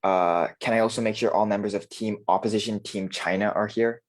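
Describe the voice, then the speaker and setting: A man's voice: lowish voice, steady tone, a little fast. A man acting as moderator of an online debate, giving orderly procedural announcements with frequent fillers, over a video call.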